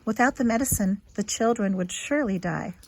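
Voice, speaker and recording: A woman reading a children's picture book aloud in an expressive, teacherly way, with simple asides to young listeners. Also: medium pitch, a little fast, low-quality recording.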